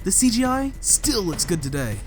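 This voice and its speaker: A high-voiced male co-host narrates a comedic movie countdown. His delivery is very animated and mock-dramatic, with emphatic announcements over a light music bed. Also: normal speed.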